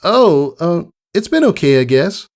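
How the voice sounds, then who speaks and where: A man's voice: fairly high pitch, very animated, measured pace, pitched above the performer's own voice. A man voicing an eager, admiring young filly pegasus in a storybook reading, earnest and a little hesitant. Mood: hesitant, casual.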